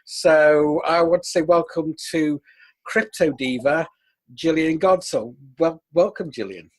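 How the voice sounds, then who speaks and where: A man hosting a podcast interview, introducing his guest in a halting, chatty way. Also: fairly high pitch, no echo. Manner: halting, chatty, with fillers and restarts. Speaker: podcast host.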